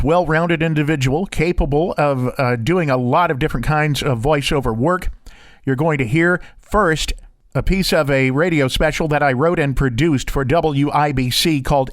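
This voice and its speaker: A man doing scripted voiceover narration and demo reading, recorded close on a studio condenser microphone. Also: on the high side. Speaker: voiceover artist and interviewer.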